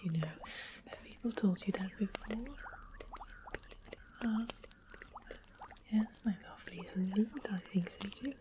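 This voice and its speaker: A woman's voice: medium pitch, noisy background, natural voice. A woman playing a sweetly sinister witch in an ASMR fantasy roleplay, whispering coyly and coaxingly with doting endearments. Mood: doting, flattering.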